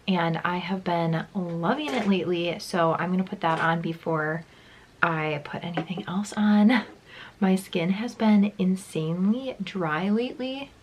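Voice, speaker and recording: A young woman vlogging at home as she gets ready, talking in a loose, rambling way with lots of "like" and "um", her voice stuffy and congested. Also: medium pitch. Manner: casual and rambling, many likes and ums. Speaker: beauty vlogger. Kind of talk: chatty get-ready-with-me vlog.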